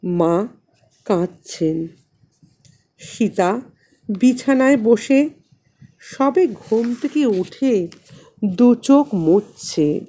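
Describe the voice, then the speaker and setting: A woman narrating a literary story expressively, in a measured way with dramatic pauses. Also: fairly high pitch, lively intonation, warm tone. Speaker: audiobook narrator and channel host.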